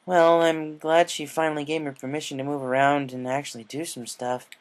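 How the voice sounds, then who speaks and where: A man's voice: on the high side, level tone, brisk, clean tone, natural voice. Plain-spoken, troubled pegasus mare, young and stubborn, casual delivery for a story reading, acted by a man. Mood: frank. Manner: casual, frank. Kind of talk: character dialogue in fan fiction reading.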